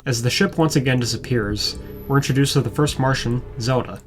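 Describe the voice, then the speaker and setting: A man's voice: mid-range voice, fairly quick, loud music behind the voice. A man reading scripted commentary as a video reviewer, with a wry, sarcastic manner. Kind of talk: scripted commentary over show clips.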